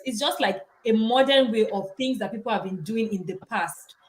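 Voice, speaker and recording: A woman's voice: on the high side, moderate pace, darkish tone, poor audio. A woman co-hosting a podcast, opinionated and conversational, often saying "you know". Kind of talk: casual podcast chat.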